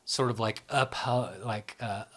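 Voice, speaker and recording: A man hosting a casual conversation, telling stories in a loose, relaxed way and often tagging "right?" and "you know?" onto his sentences. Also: lowish voice, a little slow. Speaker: host.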